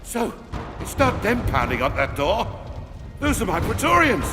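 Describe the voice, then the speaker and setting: An actor playing a vain, cowardly, petulant Roman emperor in a video game, theatrical and imperious. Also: male voice, huge pitch swings, normal speed. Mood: sly resignation. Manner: theatrical, imperious, swinging from sly to shrill. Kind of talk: dramatic video game cutscene acting. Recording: long hall reverb, music behind.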